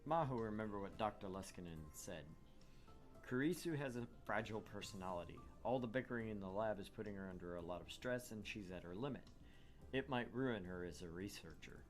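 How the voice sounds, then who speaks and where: A man's voice: lowish voice, expressive, fairly quick, soft background music. A man reading a visual novel aloud as narrator, dropping in wry, joking casual banter. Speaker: let's play host narrating a visual novel.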